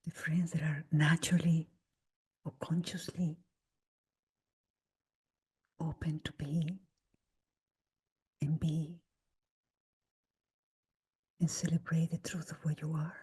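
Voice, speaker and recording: A woman guides a meditation in a very breathy, husky voice that sits close to a whisper. Her pitch is a little low for a woman. She speaks very slowly and gently, leaving long pauses between short phrases. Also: flat delivery. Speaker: meditation teacher leading a guided meditation. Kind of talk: slow guided meditation talk.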